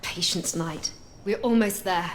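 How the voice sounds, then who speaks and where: An actress playing a proud Indian warrior queen in a video game, with an Indian accent and a commanding, formal, measured manner. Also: fairly low pitch, slight background noise, natural voice. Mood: composed, firm. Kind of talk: dramatic video game acting. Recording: game music behind the voice.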